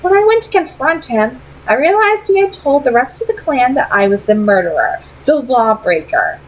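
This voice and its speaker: A proud, secretive vampire woman, played by a female reader in an audiobook-style story. Her voice sits high and she speaks in formal, deliberate, dramatic phrases, coolly persuasive, over noticeable background noise. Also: sing-song, rough recording. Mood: bitter.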